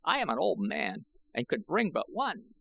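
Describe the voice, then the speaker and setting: A man voicing a boastful, cackling old Martian in a shrill voice, with old-fashioned taunting speech, in an audiobook. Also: huge pitch swings, moderate pace, gravelly, pushed and bright, slightly rough, dull audio, full character voice. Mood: defiant.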